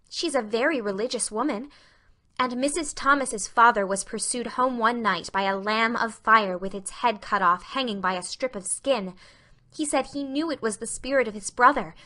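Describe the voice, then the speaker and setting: A woman's voice: a little high, pushed and bright. A woman voicing an imaginative, fearful young girl with impassioned, romantic delivery in a dramatic audiobook. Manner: breathless, impassioned, romantic storytelling. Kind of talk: dramatic audiobook character reading.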